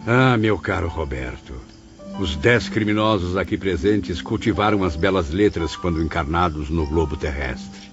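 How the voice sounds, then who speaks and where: A man's voice: low-pitched, lively intonation, moderate pace. A man playing a remorseful spirit writer who recounts things in a solemn, literary, declamatory way, as in an audio novel. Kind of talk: audio novel narration in character. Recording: dull muffled audio under music.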